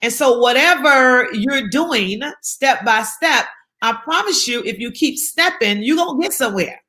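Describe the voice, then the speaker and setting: A woman's voice: high voice, expressive, a little slow, bright, forceful tone. A woman from South Carolina, speaking as an interview guest in an emphatic, encouraging way and repeating her key phrases for effect. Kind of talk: motivational interview talk.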